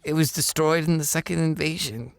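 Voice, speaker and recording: An actor playing a sombre, respectful male war refugee with deliberate, halting phrasing in an audio drama. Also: on the high side, creaky, no echo.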